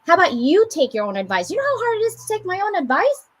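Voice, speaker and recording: A woman from the Philippines with an American accent, co-hosting a casual chat and giving an animated motivational talk with joking asides. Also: very high-pitched, quick pace.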